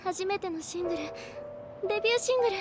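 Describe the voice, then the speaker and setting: Very high-pitched sweet anime girl. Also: rapid-fire, over loud music. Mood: earnest. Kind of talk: Japanese anime voice acting.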